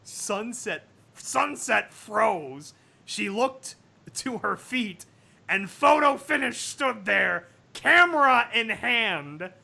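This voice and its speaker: A man hosting a livestream, reading a comic story aloud in an animated, dramatic way with casual asides. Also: sits high, slow, creaky. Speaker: livestream host.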